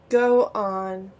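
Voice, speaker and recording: A woman's voice: medium pitch, lo-fi sound. A woman playing a devilish, bossy young schemer in an audio drama, with a dry, blunt, sardonic way of talking. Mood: dry, skeptical.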